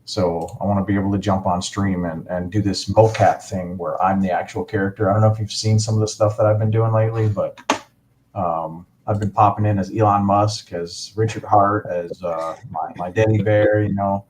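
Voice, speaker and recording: Low-voiced enthusiastic male stream host. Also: fairly even intonation, normal speed, darkish tone, a little hiss or hum, rough recording. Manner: rambling, enthusiastic, many fillers. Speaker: livestream host. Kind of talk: casual livestream chat.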